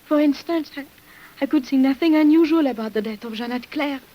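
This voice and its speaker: High-voiced, melodramatic nurse with a dark secret, played by a woman. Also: brisk, lightly acted. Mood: fearful. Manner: melodramatic, intense. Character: French nurse and secret poisoner, outwardly frightened, coldly menacing. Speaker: radio actress. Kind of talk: 1950s radio drama acting. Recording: noisy old radio recording.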